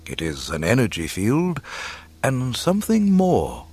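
A man voicing a wise, calm old mystic mentor in a storybook, speaking gently and with measured care. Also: lowish voice, slightly rough, heavily acted. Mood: mystical.

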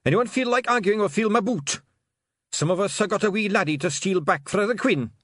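A man's voice: fairly high pitch, moderate pace, breathy edge, no echo, studio quality, voice pushed up. A man doing a blustering Scottish pixie chief. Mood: desperate. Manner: loud, blustering, excitable.

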